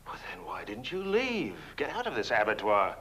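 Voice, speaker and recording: An actor playing a suave, scheming lawyer in horror-comedy film dialogue, with theatrical emphasis and hesitant murmurs. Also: male voice, mid-range voice, huge pitch swings, moderate pace, sharp tone, a little hiss or hum. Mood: wry disbelief. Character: suave, scheming male lawyer wooing a young widow.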